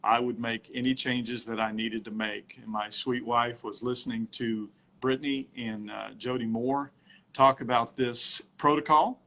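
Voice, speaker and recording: Man, middle-aged, casual livestream from home, phone mic, halting delivery, lots of ums. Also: medium pitch, steady tone, sharp tone. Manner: halting, many fillers and restarts. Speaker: host telling his health story.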